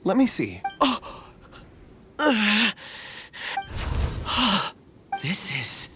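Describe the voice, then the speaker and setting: A man's voice: sits high, wildly swooping pitch, very slow, background noise, heavily acted. An actor playing a mild, thoughtful man in a video game, polite and a little hesitant. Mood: dazed. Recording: game music behind voice.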